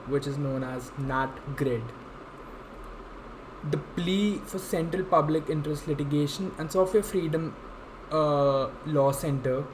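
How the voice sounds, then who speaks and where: A young man with an Indian accent giving a formal, somewhat halting scripted explainer as a legal intern. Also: a little high. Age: young adult. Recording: clearly noisy amateur room recording.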